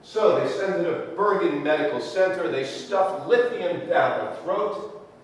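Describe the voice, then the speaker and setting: A man's voice: on the high side, lively intonation, unhurried. An actor playing an impassioned man making an emphatic, theatrical plea in a courtroom drama. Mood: indignant. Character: impassioned man pleading a wronged woman's case in court. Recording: echoey hall, far from the mic.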